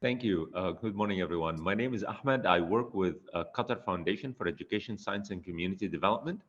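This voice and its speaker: A man from Egypt, a research manager, introducing himself quickly on a video call. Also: low-pitched, a bit bright, breathy edge. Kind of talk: self-introduction. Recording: dull call audio.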